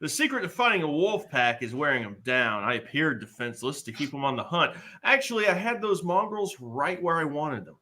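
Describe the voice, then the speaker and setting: A man's voice: a little high, sing-song, a little fast, hardly any pauses, pushed and bright. A man acting a bumbling, cowardly but boastful hero in a comedic live table read, blustering and exaggerated.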